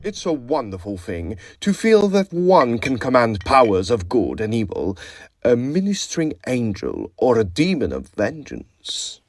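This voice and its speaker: Suave, unnerving student played by a man, theatrically animated. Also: unhurried, dry recording. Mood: grandiose. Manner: ingratiating, high inflated talk, evasive. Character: suave, unnerving male Oxford student with grandiose ambitions. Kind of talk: period audio drama acting.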